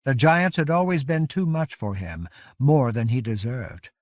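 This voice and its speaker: Dry, measured male audiobook narrator. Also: mid-range voice, no room sound. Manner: measured, grave storytelling. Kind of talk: scripted dramatic fiction narration.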